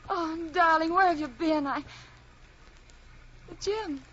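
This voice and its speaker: An actress playing an earnest, emotional wife in a melodramatic radio drama. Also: female voice, very high-pitched, slow, a bit bright, lo-fi sound, slight background noise, full character voice. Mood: anxious, relieved. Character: anxious, loving pregnant wife, later sad and reflective narrator. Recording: vintage radio drama with music behind.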